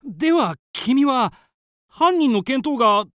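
An actor playing an earnest, easily astonished friend of a detective, with dramatic, halting radio drama delivery. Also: male voice, very high voice, sing-song, fairly bright tone, dry recording, clean studio sound, heavily acted. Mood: grave. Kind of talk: Japanese radio drama acting.

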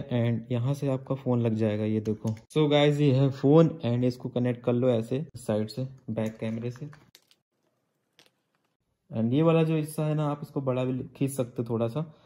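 A man's voice: medium pitch, mellow. A man giving an informal product review and tutorial, talking to viewers like friends. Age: 20s. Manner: informal, addresses viewers as guys and bhai. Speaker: vlogger. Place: bedroom at home.

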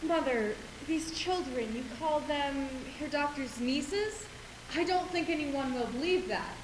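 A woman's voice: high voice, lively intonation, a little slow. A young woman on stage playing an anxious, deferential nun. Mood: worried. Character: anxious, deferential nun serving a Mother Superior. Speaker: student stage actor. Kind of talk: stage play acting. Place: school auditorium stage, far camera. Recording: echoey, hissy stage recording.

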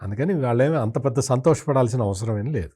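A man gives political commentary in an interview. His mid-pitched voice carries firm opinions, and he repeats points for emphasis as he criticises. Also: quick pace, dark tone, husky.

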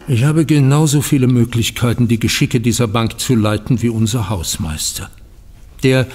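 An actor playing a bitter, dignified older bank founder in a German audio drama, speaking formally with biting sarcasm. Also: male voice, medium pitch, long reverb tail.

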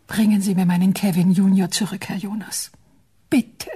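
A woman playing a distressed young mother in a radio play, with a husky voice. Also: medium pitch, steady tone, normal speed, dark tone, a little hiss or hum, close to an everyday voice. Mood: anxious.

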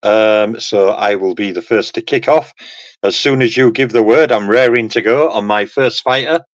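A man's voice: lowish voice, moderate pace, no room sound, muffled recording. A man on a panel over a video call, rambling and saying "okay" and "um" a lot. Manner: rambling, peppers talk with "okay" and "um". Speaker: panel guest. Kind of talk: casual panel chat. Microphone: computer mic on a video call.

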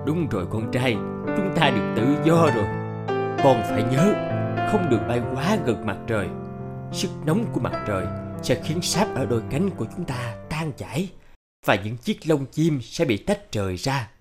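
A middle-aged cartoon craftsman and father, voiced by a man. He is earnest and careful, choosing his words in a measured way. Music plays behind his voice. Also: mid-range voice, sing-song, close to an everyday voice. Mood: cautioning. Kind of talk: cartoon dub acting.

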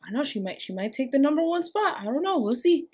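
A woman's voice: sits high, big pitch swings, fast, darkish tone. A young woman giving casual, gushing, giggly reaction commentary from a small room. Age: young adult. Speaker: reaction video host. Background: music and game trailer audio.

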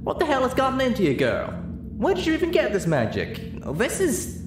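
A man's voice: very high-pitched, wildly swooping pitch, a little fast, reverberant, music in the background, pitched above the performer's own voice, heavily acted. A man voicing a feisty, folksy country mare pony with an exasperated, exclamatory manner, reading a story. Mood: shocked.

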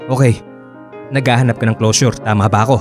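A man's voice: mid-range voice, fairly even intonation, quick pace, over music, close to an everyday voice. A man playing a reluctant, earnest married ex-boyfriend who reasons and questions, in a Filipino radio drama. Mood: reasoning.

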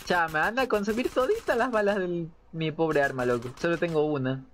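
A man's voice: on the high side, animated, brisk. A man in his early twenties with a Latin American accent, casually commenting on a game in slangy, joking talk. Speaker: game streamer. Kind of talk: casual playthrough commentary, reading subtitles aloud. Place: indoor room at home. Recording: dull lo-fi stream audio with game noise. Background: video game sound.

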